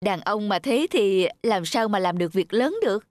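A woman's voice: mid-range voice, expressive, moderate pace, a little mellow, no room sound, lightly acted. A woman voicing a frank young family friend in a cartoon. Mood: amused, frank. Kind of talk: cartoon voice acting.

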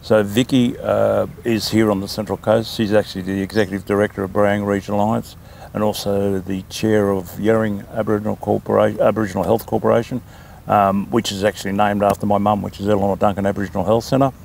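Gravelly older Australian man, unhurried. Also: on the low side, little pitch movement, a little mellow, slight background noise. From New South Wales, Australia. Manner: talkative, unhurried, with frequent ums. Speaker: land council chairman, interview guest. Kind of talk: casual interview.

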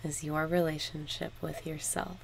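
A woman leading a scripted guided meditation in a measured, instructional way with long pauses. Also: low-pitched, level tone, gravelly, a little hiss or hum, low-quality recording.

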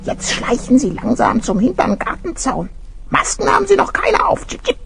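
Quietschhohen kleinen Zeichentrickvogel. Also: female voice, high-pitched, moderate pace, vocal fry, over loud music, full character voice. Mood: excited, alert. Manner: excited, peppers speech with chirps. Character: small eager cartoon bird, a chirping lookout. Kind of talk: children's audio play acting.

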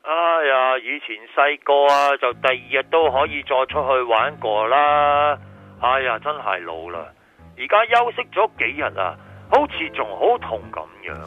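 A man's voice: medium pitch, expressive, moderate pace, phone-quality sound, natural voice. An actor playing a reluctant, squeamish man in a comedic radio drama. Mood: grumbling, chatty. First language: Japanese. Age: 40s. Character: middle-aged Japanese man, reluctant, squeamish, whiny. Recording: radio drama with music underneath.

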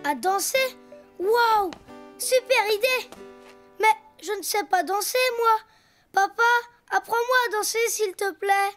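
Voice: very high-pitched, slow pace, fairly bright tone, soft background music, exaggerated voice. An actor voicing an eager, boastful, playful little boy donkey in a French preschool cartoon, exclaiming in an earnest, childlike way. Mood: excited.

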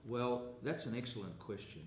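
A middle-aged man with a New Zealand accent, answering interview questions in a measured, formal way from a hotel meeting room. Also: medium pitch. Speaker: investor guest. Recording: roomy with faint ambient noise.

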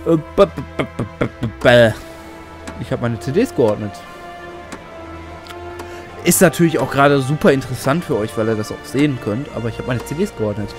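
A man giving let's play commentary on a flight game, excitable, swearing often and talking to himself. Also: medium pitch, fairly quick, pauses often. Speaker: gaming channel host. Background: game music.

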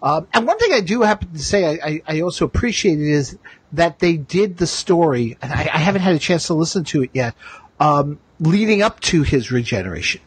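A man with a somewhat high, husky voice hosts a casual fan chat. He talks in a roundabout way, adding asides as he goes, and the sound is fairly dry. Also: very animated, a little fast, no echo. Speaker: host of a TV fan discussion show.

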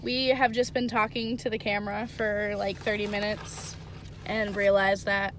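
A woman's voice: medium pitch, flat delivery, normal speed, a bit bright, a little hiss or hum. A young woman chatting playfully with her friend while driving, caught on a phone in the car. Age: young adult. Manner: playful, jokey. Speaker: vlogger's friend, driver. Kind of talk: casual chat. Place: car interior. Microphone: phone camera in car.

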